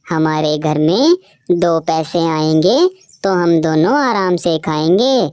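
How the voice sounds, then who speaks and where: A woman's voice: sits low, slow pace, darkish tone, clear, resonant tone, no room sound, clean studio sound. A woman voicing a caring female bird who raises her friend's orphan, emotional and outspoken. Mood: motherly. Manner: emotional, wailing, scolding. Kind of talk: cartoon voice acting.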